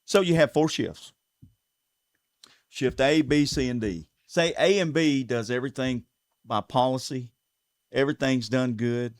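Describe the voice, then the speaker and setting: A man's voice: mid-range voice, measured pace, pauses often, dry recording, clean studio sound. A man with a Southern US accent, running for sheriff, speaking earnestly in a casual interview and repeating words as he builds his points. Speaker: sheriff candidate. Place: local TV studio.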